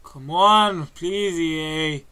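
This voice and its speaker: A young man, giving loud, excitable, sweary commentary over a game, his voice going hoarse. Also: fairly high pitch, very slow. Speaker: gaming YouTuber. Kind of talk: excited pack-opening commentary. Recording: game music playing behind.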